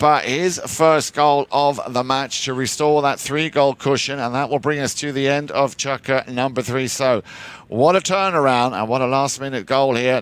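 Male commentator, mid-pitched, live sports call, calm then lifting. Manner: measured, lifts with the action. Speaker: polo commentator.

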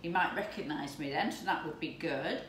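A woman in her fifties chatting excitedly to camera while cooking in her home kitchen. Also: deep voice, moderate pace. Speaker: lifestyle vlogger. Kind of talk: casual chat to camera. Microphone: camera's built-in mic from a few steps away. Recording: echoey room, distant mic, background noise.

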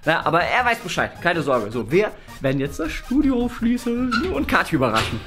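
A man's voice: sits high, wildly swooping pitch, a little fast, over music. A young man chatting casually as a vlog co-host, colloquial and fond of question tags. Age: young adult. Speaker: video channel co-host. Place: furnished studio rooms, indoors. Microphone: camera at arm's length or a little further.

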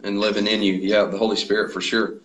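A man's voice: lowish voice, level tone, quick pace, fairly bright tone, rough recording. A man in his thirties hosting an interview over a video call, affirming and asking questions. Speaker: host. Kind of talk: casual interview talk. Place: small room indoors. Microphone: video call with earbuds.

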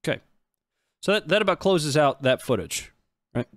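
A man's voice: on the high side, animated, moderate pace, dry recording. A man in his thirties giving casual live commentary on footage as a stream host, speaking into a close desk microphone. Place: small indoor studio. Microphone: close desk microphone on boom arm.

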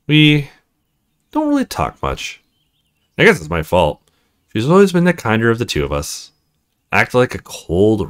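Man reading as cool married woman, very lively intonation. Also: lowish voice. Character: cool, confiding married female neighbor, self-described ruthless cougar. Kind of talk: visual novel dialogue read aloud.

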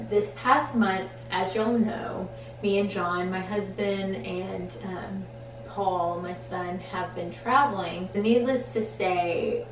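A young woman in her twenties chatting casually to camera in a small bedroom, relaxed and full of ums and likes. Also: mid-range voice, roomy sound, a little hiss or hum, rough recording. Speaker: vlogger. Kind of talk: casual vlog chat to camera. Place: small wood-paneled bedroom, indoors.

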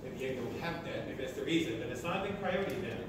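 A man's voice: mid-range voice, animated, quick pace. A sixty-year-old American man from Oklahoma giving a motivational talk to an audience in short, emphatic phrases, recorded from far off in a large lecture hall. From Oklahoma, United States. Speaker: speaker at a sustainability meeting. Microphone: distant camera at the back of the room. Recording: echoey hall from far off, noisy.

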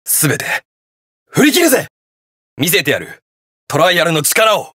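Game hero: stern young police detective in armor, gruff and defiant, very animated pitch, dry recording. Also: male voice, moderate pace, dry recording, exaggerated voice. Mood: defiant, fierce. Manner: gruff, terse, defiant delivery. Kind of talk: video game battle voice lines in Japanese hero-show acting.

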